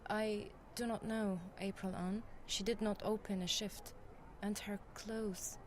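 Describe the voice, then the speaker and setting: An actress voices a mystical female healer from a fantasy game. Her mid-range voice is calm, solemn and formally phrased. Noticeable ambient noise runs behind her. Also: mid-range voice, unhurried, natural voice. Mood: grave, puzzled.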